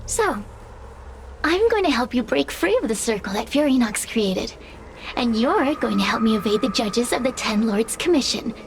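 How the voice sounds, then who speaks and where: A woman voicing a sly, seductive possessing spirit in a video game, teasing and quietly menacing. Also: on the high side, expressive, background noise. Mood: sly, alluring. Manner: silky, teasing, deliberate.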